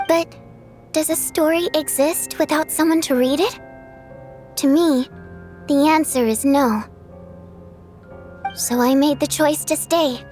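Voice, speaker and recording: A woman playing a graceful, wistful and kindly water spirit singer in a video game dub, speaking in a measured, lyrical way. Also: high voice, a little mellow, soft background music. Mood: wistful, reflective.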